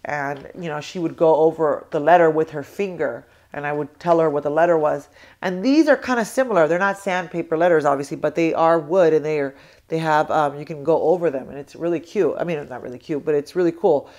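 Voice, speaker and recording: This is a young American mother with a cold, her voice sitting low and a little congested. She chats to the camera in a loose, rambling way, full of ums and restarts as she shows off toys at home. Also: brisk, a little mellow. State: congested with a cold, sore throat. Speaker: toy review vlogger. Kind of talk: casual chat to camera.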